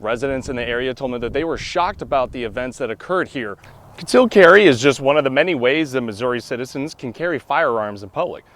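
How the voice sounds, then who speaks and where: A young American man reads scripted local news as a TV reporter. His pitch sits in an ordinary middle range, and the delivery is that of a prepared field report. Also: expressive, fast, fairly bright tone.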